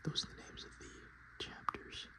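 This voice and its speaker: A man doing softspoken, whispered ASMR, reading and commenting informally in a breathy, unhurried way and correcting himself as he goes. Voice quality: breathy whisper mixed with low, creaky soft speech. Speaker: ASMR host browsing a tabletop game book.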